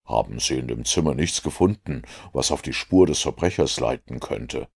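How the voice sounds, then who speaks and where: A man doing a calm, deep-voiced detective. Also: gravelly, husky, full character voice. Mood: probing. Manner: measured, confident explaining. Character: keen, self-assured male detective, calm and commanding.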